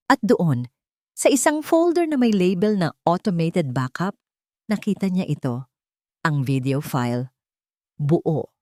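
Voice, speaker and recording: A woman narrating a scripted dramatic story in measured, suspenseful phrasing. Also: lowish voice, warm tone, no echo, clean studio sound.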